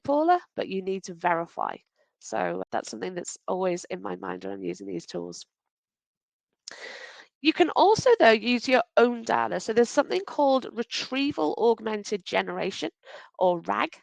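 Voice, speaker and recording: A woman originally from Wales, her accent only faint, presents slides over a video call in a relaxed, practical way and corrects herself as she goes. Her voice has a gravelly, creaky texture at a middle pitch. The sound is dry and close, with no room echo. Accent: faint Welsh. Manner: conversational, practical, self-correcting. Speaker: webinar presenter.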